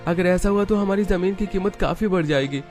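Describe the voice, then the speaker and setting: Hopeful young family man acted by a man, cartoon drama, lifted pitch, earnest delivery, faint music. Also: brisk, a little mellow, natural voice. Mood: eager. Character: hopeful young husband and son in poor family. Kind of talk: cartoon story dialogue. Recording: music bed behind the voice.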